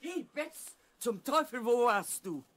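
A bossy, wicked chambermaid in a children's radio play. She scolds harshly in slow, drawn-out speech with wide swings of pitch. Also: lively intonation, fairly bright tone, full character voice. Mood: angry scolding. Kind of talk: German children's audio drama acting.

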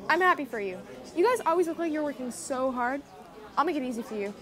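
Kindly female customer played by an actress, easygoing, high voice, radio play. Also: expressive, fast. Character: kind, easygoing woman coffee customer.

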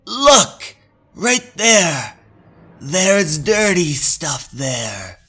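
A man's voice: on the high side, sing-song, fairly bright tone, breathy edge, soft background music, pitched above the performer's own voice, exaggerated voice. A man voicing a dramatic old woman healer in a horror story, alarmed and ominous, drawing out the words. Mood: frantic, commanding. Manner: alarmed, ominous, drawn-out delivery.

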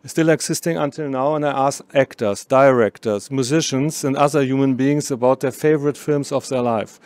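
A man's voice: a little high, a little mellow, no echo. A middle-aged man with German as his first language, interviewing guests at a film festival, rambling and enthusiastic, holding a handheld mic. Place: small room at a film festival.

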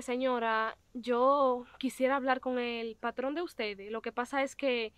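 Woman doing a polite, persistent young visitor, high voice, dry outdoor sound. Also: smooth, no room sound, close to an everyday voice. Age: young adult. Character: humble, determined young woman seeking a farm. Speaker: actress. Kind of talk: web drama acting. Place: outdoors on a country lane by a gate.

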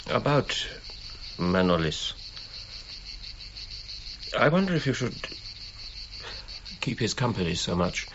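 Animated, stubborn gentleman archaeologist. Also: on the low side, takes it slowly, long pauses, lo-fi sound, background noise. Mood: concerned, disapproving. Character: earnest, stubborn gentleman archaeologist, proud and anxiously possessive. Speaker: actor in a radio drama. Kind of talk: period radio drama acting.

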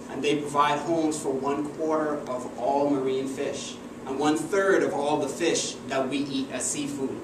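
A young doctoral student from the Bahamas delivers a memorized talk in an earnest, emphatic way, with a mid-range voice. He stands at a distance from the camera in an echoey university room. A steady air-conditioning hum runs clearly underneath. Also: medium pitch. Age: young adult. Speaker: PhD student presenter. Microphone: far camera, no visible mic.

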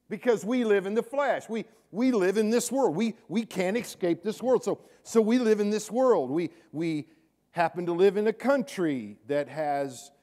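A middle-aged pastor preaching in a large church sanctuary. His voice sits fairly high for a man. He talks to the congregation in a chatty way, then swells louder to drive a point home, often doubling his words. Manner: conversational preaching with sudden rises in volume and repeated words. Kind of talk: sermon.

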